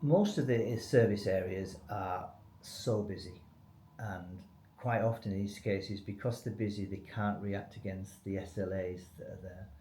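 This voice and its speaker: A man's voice: deep voice, measured pace, warm tone. A man speaking unscripted as a company spokesman in a business webinar, explaining things in an informal, loosely structured way. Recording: echoey room with faint noise.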